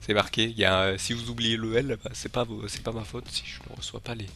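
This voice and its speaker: A man's voice: lowish voice, steady tone, a little fast, sharp tone. A man with a head cold talking casually to his channel's viewers, rambling and hesitant, with frequent filler sounds and restarts. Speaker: channel host. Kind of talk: casual video announcement to viewers.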